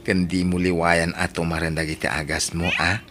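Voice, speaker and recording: A man playing a forgiving, devout husband in an Ilocano radio drama, gentle and consoling, with an Ilocano accent. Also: sits low, level tone, normal speed, background noise, lightly acted. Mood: gentle, relieved.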